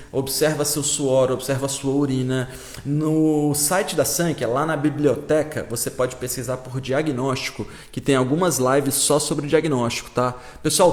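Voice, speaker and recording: A man's voice: medium pitch, a little mellow. A man with a Brazilian Portuguese accent, teaching a live-stream lesson and answering viewers in a casual, explaining way with frequent check-ins. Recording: roomy echo.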